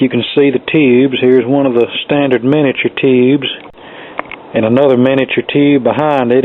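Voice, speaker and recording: Man on a thin, noisy recording. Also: mid-range voice. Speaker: vintage radio repair hobbyist presenting a video. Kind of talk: casual unscripted demonstration commentary. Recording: thin phone-like sound, clearly noisy.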